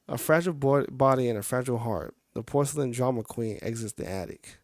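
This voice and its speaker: A man's voice: medium pitch, a little fast, no echo, clean studio sound. A man streaming a game, reading the story aloud and dropping in casual reactions. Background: music.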